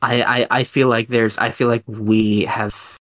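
A man hosting a podcast, talking in a casual mock-scholarly way, halting and rambling as he works ideas out. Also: mid-range voice, flat delivery, fairly bright tone. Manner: halting, rambling, thinking aloud. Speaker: podcast host.

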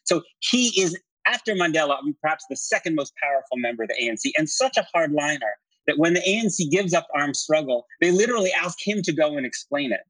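A man's voice: a little high, expressive, fast, few pauses, fairly bright tone, no echo, low-quality recording. A man speaking as an interview guest, explaining politics and history in an articulate, discursive, emphatic conversational way. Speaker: interview guest, writer and commentator.

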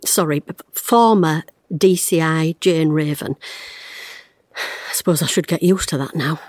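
A woman's voice: low-pitched, unhurried, darkish tone. An actress, playing a wry, blunt retired woman detective in an audio drama, recorded close and dry. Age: 50s. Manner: wry, blunt, self-mocking, fraying under strain.

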